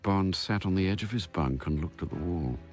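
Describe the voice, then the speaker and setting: Guy as storyteller for a spy radio play, super low voice, matter of fact, music behind. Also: moderate pace, darkish tone, lightly acted. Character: measured male storyteller narrating a spy thriller. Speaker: narrator. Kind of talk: scripted radio drama narration. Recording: narration over background music.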